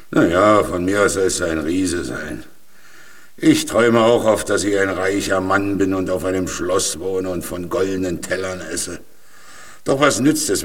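Resigned old tramp, low gravelly-husky voice, slightly off mic, plain-spoken, children's radio drama. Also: male voice, far from the mic. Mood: wry, wistful. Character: resigned, weary old male vagabond in a jail cell. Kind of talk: children's radio play acting.

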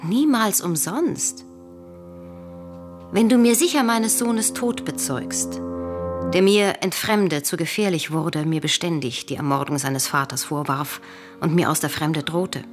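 A proud queen and cold mother in a Greek tragedy radio drama. She declaims in measured, imperious verse, with music clearly playing behind her. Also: low-pitched. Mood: relieved, bitter.

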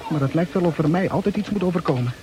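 An actor playing a fretful muttering bachelor. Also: male voice, a little high, lively intonation, fast, over music, lightly acted. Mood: fretting. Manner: anxious inner monologue. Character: nervous bachelor muttering his thoughts. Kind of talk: 1960s radio play acting. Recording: noisy old radio play recording.

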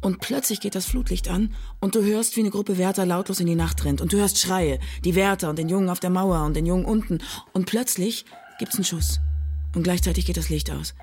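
A woman's voice: fairly low pitch, brisk, a little mellow, husky, soft background music. A woman playing a brusque, sarcastic resident of a walled commune, colloquial and challenging, in a naturalistic radio drama. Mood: grave, intense.

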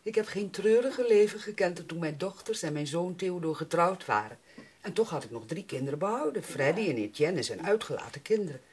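A lowish, very lively voice: an older grandmother in a period radio drama. She is genteel, cheerful and effusive, doting fondly on the young. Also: a little fast, slightly rough. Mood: fond, delighted.

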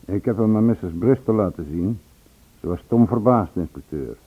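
Low-voiced deferential police subordinate. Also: male voice, animated, breathy edge. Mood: earnest, respectful. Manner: deliberate, respectful. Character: deferential subordinate policeman reporting to his inspector. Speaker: radio drama actor. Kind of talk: Dutch radio play dialogue. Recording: tinny band-limited old radio recording.

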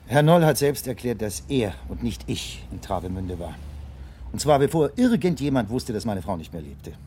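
A man's voice: mid-range voice, very animated, normal speed, darkish tone, a little hiss or hum, natural voice. An actor playing a self-assured, evasive businessman in a 1970s radio crime drama, speaking formally and insistently. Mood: defensive, indignant.

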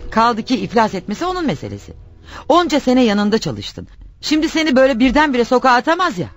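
An actress playing a nagging, reproachful wife in radio theatre, scolding and insistent with a sarcastic edge. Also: female voice, on the high side, huge pitch swings, fast, muffled recording, faint music behind. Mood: insistent, persuading. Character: nagging, reproachful wife pushing her jobless husband.

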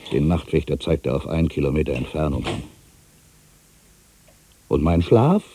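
An actor playing an irritable, brooding ageing writer, delivering a theatrical literary monologue for a radio play, moving between exclamations and hushed asides. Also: male voice, a bass voice, animated, moderate pace, noisy background. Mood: irritated, aggrieved. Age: older. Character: ageing writer, musing, irritable, suspicious, quietly superstitious.

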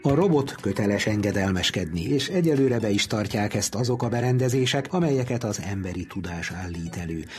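A man reads scripted radio narration as the presenter. His voice sits a little low, and soft music plays faintly behind him. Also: animated, hardly any pauses.

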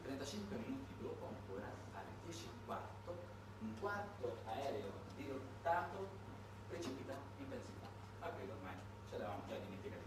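A man performing a dramatic storytelling monologue, emphatic and sardonic, with halting pauses. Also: mid-range voice, huge pitch swings. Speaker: stage monologue performer and narrator. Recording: echoey room, distant mic, noisy background.

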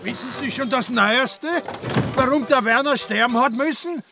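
An Austrian actor playing an agitated, accusing village farmer in a radio drama. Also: male voice, very high voice, moderate pace, creaky, music in the background. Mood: outraged.

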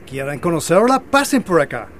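An actor plays a welcoming man who tinkers with inventions in a scripted family drama. His pitch moves around in a lively way, and clear ambient noise sits behind him. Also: moderate pace. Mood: friendly, inviting. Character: friendly hospitable man, amateur biofuel inventor. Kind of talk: scripted educational drama dialogue.

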